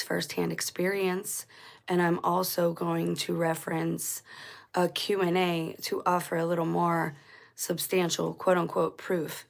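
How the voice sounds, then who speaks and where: Low-voiced woman with a scratchy throat. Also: creaky. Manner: rambling, self-correcting, conversational. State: scratchy, dry voice. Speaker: channel host. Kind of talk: casual commentary vlog.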